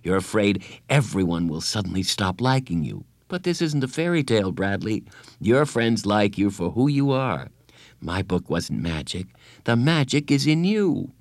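A man voicing a gentle, patient woman school counselor in an audiobook, speaking calmly and kindly. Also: fairly low pitch, normal speed, no room sound, studio quality. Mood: reassuring. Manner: calm, kind, reassuring. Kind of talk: audiobook character voice.

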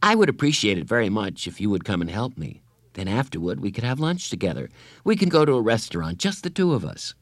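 A kind woman school counselor, voiced by a man narrator, patient and earnest as in a storybook. The phrases are gently reassuring and lively in pitch, in a dry, close sound. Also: fast, no echo. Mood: hopeful, kind.

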